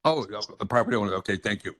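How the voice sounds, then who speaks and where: A man's voice: fairly low pitch, racing, fairly bright tone. A man from Hawaii speaking as a neighborhood board member at a public meeting, courteous and explanatory, offering help. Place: community meeting room.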